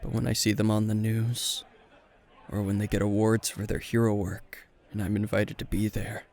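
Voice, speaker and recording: A man playing a weary, gruff veteran hero and teacher at a bar, terse and dry, in an intimate roleplay spoken to the listener. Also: lowish voice, flat delivery, moderate pace, a little mellow. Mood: downcast, brooding. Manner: terse, guarded, dry, sighing.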